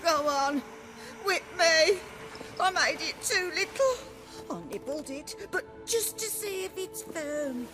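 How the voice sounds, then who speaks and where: A woman's voice: very high-pitched, expressive, slow pace, loud music behind the voice, full character voice, poor audio. A woman playing a deranged, servile, tormented mother in a video game, babbling, muttering and pleading. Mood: frantic pleading. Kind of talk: video game cutscene acting.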